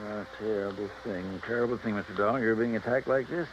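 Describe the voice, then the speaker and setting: A man's voice: on the low side, fast talker, close to an everyday voice. A man playing a kindly but firm, talkative doctor in a 1950s radio drama, soothing and chatty with his patient. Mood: concerned. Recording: dull, noisy vintage radio recording.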